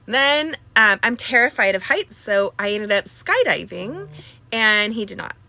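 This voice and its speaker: A woman's voice: medium pitch, very animated, measured pace, bright, forceful tone. A middle-aged woman hosting a live craft class, chatting casually with viewers, greeting them by name, with plenty of filler words. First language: Spanish.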